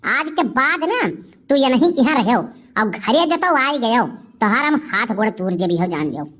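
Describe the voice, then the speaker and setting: A woman's voice: on the high side, animated, normal speed, fairly bright tone. A woman voicing a furious village aunt with an Awadhi accent, scolding and cursing in earthy style for a comedy cartoon. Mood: threatening. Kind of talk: comic cartoon dialogue.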